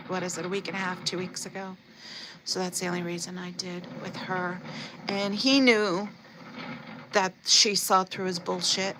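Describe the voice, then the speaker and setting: A woman's voice: on the low side, background noise. A woman hosting a casual livestream, talking at length and reading chat aloud, her throat sore and her voice starting to fade. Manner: talkative, reads chat comments aloud. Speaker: livestream host.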